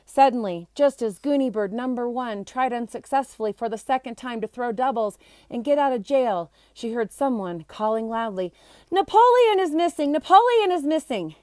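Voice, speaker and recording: Expressive woman reading a children's storybook, dry sound. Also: medium pitch, no echo. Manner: expressive, acting out the characters. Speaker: storybook reader. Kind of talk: children's book read-aloud with short explanatory asides.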